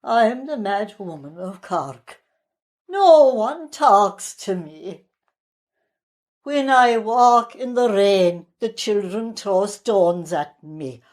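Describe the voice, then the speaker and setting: Very slow, haunted Irish outcast woman, acted out by a woman. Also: mid-range voice, lively intonation, a little mellow. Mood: haunted, forlorn. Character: haunted, outcast mad woman of Cork, persecuted visionary. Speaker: actor reading a poem. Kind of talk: dramatic poetry reading in first person.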